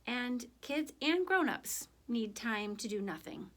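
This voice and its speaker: Female children's story reader, voice a little high, gentle and expressive, talks directly to kids. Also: takes it slowly. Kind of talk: picture book read aloud, then informal reflection to children.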